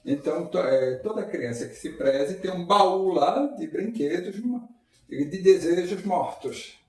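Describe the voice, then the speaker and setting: A man's voice: sits high, expressive, a little slow. A man giving an informal public lecture at a spiritist center, talking conversationally and putting rhetorical questions to the audience. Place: lecture hall. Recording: echoey hall, mic far off.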